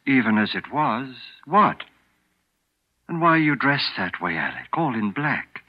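Frightened ailing older man, husky low voice, swings up and down in pitch, dull old radio sound. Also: very animated, unhurried. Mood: uneasy. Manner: anxious and insistent, voice rising in panic. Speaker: narrator and lead character. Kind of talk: 1940s radio acting.

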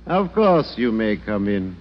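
An actor playing a sly, threatening criminal with a mocking, sneering manner, in 1940s radio drama style. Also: male voice, lowish voice, very animated, a little slow. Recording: dull noisy radio, long reverb.

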